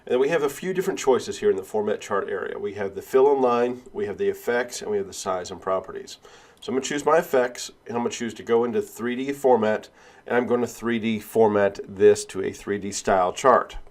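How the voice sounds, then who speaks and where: Male instructor, lowish voice, stuffy with a cold, walks through each step, fillers like "uh". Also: a little fast. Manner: step-by-step, with frequent "uh" and "all right". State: has a cold, sniffling. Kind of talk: screen-recorded software tutorial.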